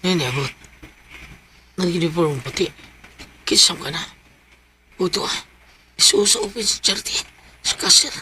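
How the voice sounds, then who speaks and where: An actor playing a jumpy, eager henchman in a Filipino radio drama, with an excitable, questioning manner and a Cebuano accent. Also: mid-range voice, unhurried, long pauses, background noise. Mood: sly excitement.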